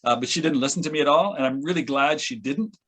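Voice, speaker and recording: A man's voice: a little high, fairly bright tone. Hesitant man in casual discussion. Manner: hesitant, halting. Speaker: radio play producer and sound editor.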